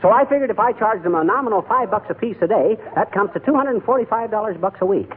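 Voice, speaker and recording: Scheming, folksy wisecracker husband, lively swoops, 1940s radio comedy with narrow, hissy sound. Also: male voice, high voice, fast. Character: blustery, scheming, penny-pinching husband. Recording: tinny, faintly noisy 1940s radio recording.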